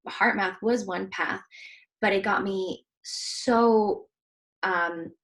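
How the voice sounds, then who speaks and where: A woman's voice: mid-range voice, slow pace. A woman from Switzerland, a heart coach hosting a casual interview, speaking earnestly and reflectively with many fillers. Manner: earnest, reflective, soft-spoken with frequent fillers.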